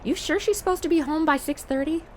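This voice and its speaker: A woman's voice: sits high, quick pace, over loud music, lightly acted. A woman playing a slangy, blunt, sarcastic modern young woman who is a detective's sidekick in a comedy audio drama. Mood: wry, questioning.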